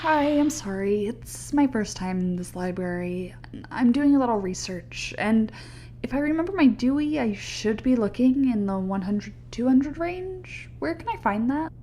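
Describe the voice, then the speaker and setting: A woman's voice: fairly high pitch, animated, normal speed, dark tone, a little hiss or hum, close to an everyday voice. A woman playing an anxious, studious researcher in an audio play, hesitant, polite and apologetic.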